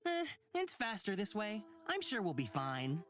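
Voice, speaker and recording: A breezy, teasing young traveller, swooping intonation. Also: female voice, medium pitch, huge pitch swings, a little slow. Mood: breezy storytelling. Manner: playful, teasing. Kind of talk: visual novel voice acting. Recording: music behind the voice.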